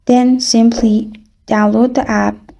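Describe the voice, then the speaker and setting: A woman narrating a phone app tutorial in a polite, even, scripted voice-over, walking through the steps one by one. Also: fairly high pitch, takes it slowly, gravelly, slight background noise. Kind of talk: scripted step-by-step instructions. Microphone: voice-over over screen recording.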